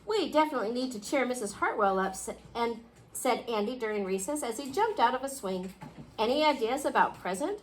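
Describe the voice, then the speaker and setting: A woman reading a picture book aloud to a class, expressively, pausing to question her young listeners. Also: a little high, animated. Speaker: children's storybook reader. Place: indoor school classroom. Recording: clearly noisy classroom recording.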